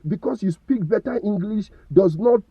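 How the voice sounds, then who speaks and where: A man's voice: high-pitched, normal speed, breathy edge, no room sound, lo-fi sound. A Nigerian man giving impassioned opinion commentary, firing rhetorical questions and stumbling over repeated words.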